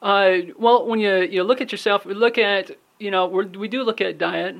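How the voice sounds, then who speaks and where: A man answers interview questions on a radio show with a slightly high voice that carries a lot of gravelly fry. He explains earnestly and steadily, with frequent "uh" fillers between his points. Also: fairly even intonation, moderate pace, fairly bright tone. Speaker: guest, holistic wellness business owner.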